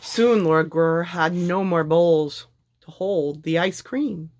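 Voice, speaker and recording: Slow-paced woman reading a storybook. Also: on the low side, a little mellow, a little hiss or hum. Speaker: storybook reader. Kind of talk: scripted children's story narration.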